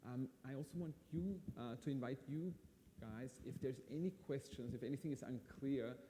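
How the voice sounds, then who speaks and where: Mellow Austrian man hosting in a hall. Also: medium pitch, moderate pace. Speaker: event host. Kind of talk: live on-stage interview, informal and conversational. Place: large hall at a live event in Austria. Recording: hall reverb, audible room noise.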